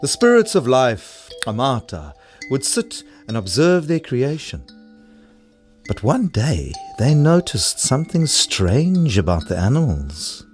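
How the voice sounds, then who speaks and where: A narrator telling a folktale in an animated storytelling style for children. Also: medium pitch, slow pace, faint music behind.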